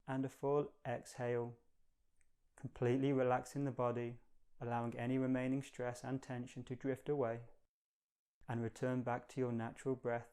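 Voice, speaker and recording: Smooth, even-toned male meditation guide. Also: mid-range voice. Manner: calm, measured, gentle. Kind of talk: guided meditation, slow and soothing instruction.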